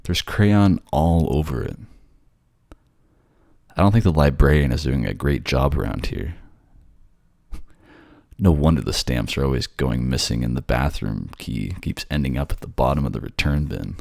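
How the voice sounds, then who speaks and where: Man acting as sardonic male ghost, extremely low pitch, dry close-up sound, snarky, casual, ASMR roleplay. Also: expressive, normal speed, creaky, long pauses, darkish tone, no echo, exaggerated voice. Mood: bored grumbling. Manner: snarky, conversational, teasing. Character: sardonic bored male ghost haunting a library. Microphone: close, dry microphone.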